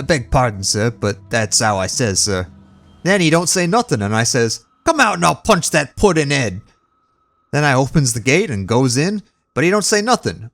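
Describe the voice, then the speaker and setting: A man's voice: medium pitch, pitched above the performer's own voice. A man voicing a nervous young bellboy with a Cockney accent, deferential and full of "sir". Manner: deferential, keeps saying sir, rough grammar.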